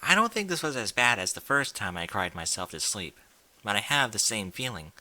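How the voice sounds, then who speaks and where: A man's voice: on the low side, sing-song, a little fast, bright, forceful tone. A man reading fan fiction aloud in an informal, blunt way, with incredulous asides in casual speech. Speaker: fan fiction reader and commentator.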